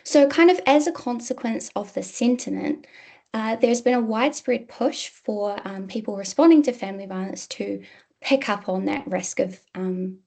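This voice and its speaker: New Zealand woman with a gravelly voice. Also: medium pitch, mellow. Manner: measured, frequent "um" fillers. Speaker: university lecturer presenting research. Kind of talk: academic presentation.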